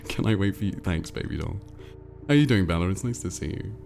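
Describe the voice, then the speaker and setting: Male livestream host, low and creaky, stuffed-up nose, rambling casual chat, faint music behind. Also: expressive, fairly quick, darkish tone. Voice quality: nasal, congested. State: congested with a cold. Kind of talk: casual livestream chat, reading viewer messages aloud.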